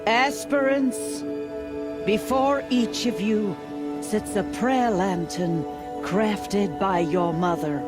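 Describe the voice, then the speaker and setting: A stern, zealous priestess, played by a woman, leads the ceremony in a slow, commanding voice of middling pitch. Game music runs under this video game scene. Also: lightly acted. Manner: formal, commanding, ritual address.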